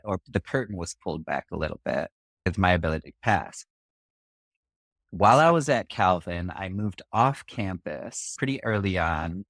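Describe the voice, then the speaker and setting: A man's voice: deep voice, a bit bright, no room sound. A man from Singapore, a community organizer, telling his story in an interview in a thoughtful way, often checking in with "right?". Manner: thoughtful, with frequent "right?" asides. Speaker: racial justice organizer.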